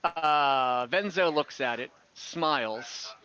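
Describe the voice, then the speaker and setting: A man narrating an improvised online tabletop fantasy game as game master, in a halting, hesitant way. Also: a little high, bright, forceful tone, clean tone, dull audio. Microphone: online voice call.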